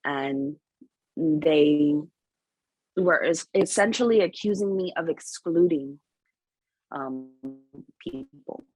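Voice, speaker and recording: A woman speaking as an author on a panel, giving candid opinions in a deliberate, emphatic way with a husky voice. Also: low-pitched, expressive, a little mellow, low-quality recording. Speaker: picture book author on a panel.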